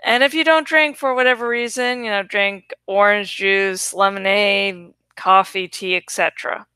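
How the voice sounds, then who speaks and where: A woman's voice: medium pitch, fairly even intonation, normal speed, pushed and bright, clear, resonant tone, no echo. A woman host chatting casually to her viewers, swearing freely and laughing between remarks, while not feeling fully well. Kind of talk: casual chat to camera.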